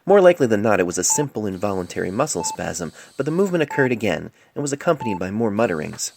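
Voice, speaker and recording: A woman's voice: extremely low pitch, sing-song, fast, vocal fry, noisy background. A woman narrating a scripted fan fiction story as an amateur reader, recorded on a cell phone microphone.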